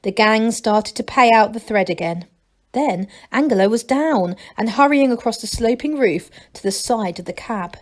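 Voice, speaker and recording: A woman reading a children's story aloud as a teacher would, in expressive narration. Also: fairly high pitch, moderate pace.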